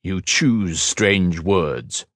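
Male reader as a deep baritone council judge, firm and cold, slow, dry. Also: animated, a bit bright, dry recording, full character voice. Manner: firm, cutting, measured.

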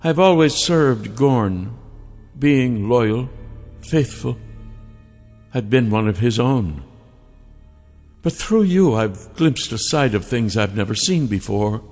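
Man doing a centuries-old she-dragon for an audiobook, coaxing, drawn out, with soft music behind. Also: medium pitch, lively intonation, in a large hall, voice pushed up. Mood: seductive. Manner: silky, coaxing, slow and drawn out. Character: seductive ancient female dragon in woman form. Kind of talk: audiobook character voice.

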